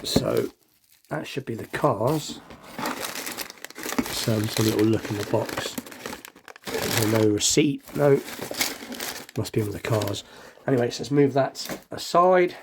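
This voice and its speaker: Male hobby vlogger, mid-pitched, a bit nasal with a slight cold, informal and thinking out loud. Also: animated, measured pace, creaky, long pauses, background noise. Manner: rambling, thinking aloud. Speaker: hobby unboxing video host. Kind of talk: casual chatty unboxing commentary.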